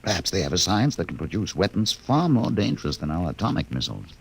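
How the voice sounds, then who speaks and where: An actor playing a cynical, fatalistic expedition doctor in an old radio drama, musing and deliberate, with a gravelly voice. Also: male voice, low voice, very animated, a little hiss or hum, exaggerated voice. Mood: musing, needling.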